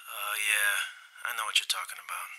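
A man's voice: on the low side, steady tone, moderate pace, pushed and bright, low-quality recording. An actor playing a reluctant, curt man who brushes people off on the phone, in an audio drama. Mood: wary. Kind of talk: naturalistic audio drama acting.

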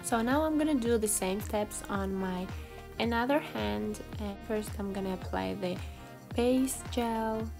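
A woman's voice: medium pitch, unhurried, gravelly. A woman giving a casual, chatty tutorial voice-over in a self-deprecating way, like a beauty vlogger. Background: background music.

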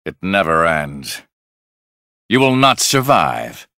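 An arrogant, godlike male villain from a fighting game. His voice is very sharp and forceful, with a creaky edge. He delivers clipped, menacing, condescending lines extremely slowly. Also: deep voice, big pitch swings, no echo, studio quality, heavily acted. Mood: aggressive, shouting. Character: arrogant, cold male supervillain with god complex.

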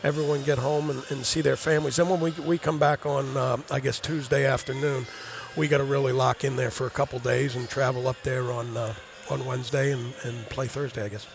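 A middle-aged man talks through a postgame interview as an assistant coach, his voice gravelly with a rough, creaky edge. He rambles and repeats words while he thinks, speaking into a headset mic. His pitch sits in an ordinary middle range. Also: flat delivery, a little fast, few pauses, over music. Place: studio set in a gym, indoors.

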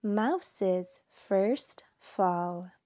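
Slow, lively woman reading to children. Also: mid-range voice. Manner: slow, deliberate phrasing with expressive emphasis. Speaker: storybook reader. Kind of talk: children's picture book read-aloud.

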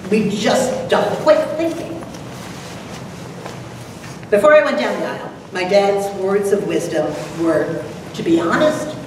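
Unhurried woman, far off in echoey hall. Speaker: speaker and dramatic reader. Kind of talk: introductory talk with personal anecdote. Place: large lecture room. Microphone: distant camera mic. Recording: very echoey hall, distant, noisy rumble.

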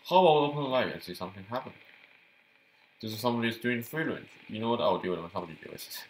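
A man's voice: medium pitch, expressive, quick pace, a little hiss or hum, poor audio. A man giving casual gameplay commentary, chatty and thinking aloud, often repeating words as he goes. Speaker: gameplay youtuber.

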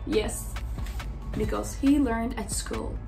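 A young woman with a high voice reads a children's picture book aloud. She is expressive and exaggerated, breaking off for short, simple reactions to the pictures. Music plays along with her. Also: slow pace, vocal fry. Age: young adult. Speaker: children's story reader.

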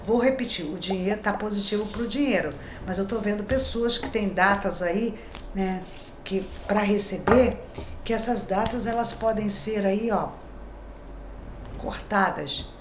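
Middle-aged female tarot reader, mid pitch, reassuring chatty delivery, echoey small room. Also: unhurried, a little hiss or hum. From Brazil. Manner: chatty, reassuring, tag questions. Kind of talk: daily horoscope tarot reading. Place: small decorated room indoors.

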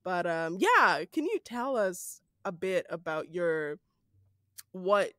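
An animated interviewer with a Nigerian accent talks in loose, rambling turns full of fillers and bursts of laughter. The voice sits mid-range, and the recording is dry and close, with no room sound. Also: mid-range voice, takes it slowly, no room sound. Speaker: podcast host. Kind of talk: casual interview chat.

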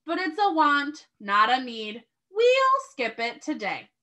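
A patient, friendly storybook dad played by a woman explains things with clear emphasis. The voice is high and bright, with very lively swings in pitch. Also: takes it slowly, exaggerated voice. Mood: regretful. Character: friendly, patient dad teaching his young daughter. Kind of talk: children's storybook read-aloud.

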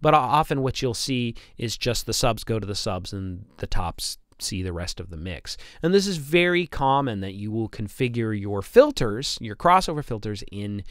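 A man's voice: lowish voice, big pitch swings, normal speed, few pauses, dry recording, clean studio sound. A man teaching as an instructor, explaining technical material in an informal, conversational lecture with occasional stammered repeats. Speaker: instructor, audio engineer. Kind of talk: informal lecture over slides.

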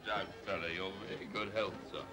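A man's voice: low voice, steady tone, a little fast, lightly acted. A man acting a belligerent, muddled English drunk in a 1940s British film. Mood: tipsy, muddled. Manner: petulant, stubborn, repetitive. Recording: old 1940s film soundtrack with music.

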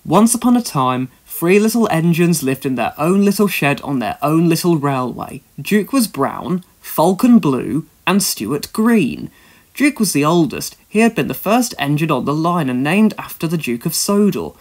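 A man narrates a children's storybook in an expressive read-aloud manner. His voice sits slightly high and moves widely in pitch as he tells the tale. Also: moderate pace.

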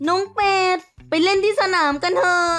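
A woman's voice: very high voice, steady tone, fairly quick, a bit bright, soft background music. A woman voicing a young, playful schoolchild who hates studying, with a casual childish manner, in a children's cartoon. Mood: eager. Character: young schoolchild classmate, keen to play, reluctant to study. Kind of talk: children's cartoon story acting.

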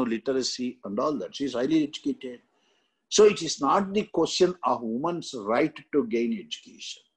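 An older man with an Indian accent lecturing on exam questions in a halting, repetitive way with frequent tags. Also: fairly high pitch, husky. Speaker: exam coaching teacher. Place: indoor room, seated.

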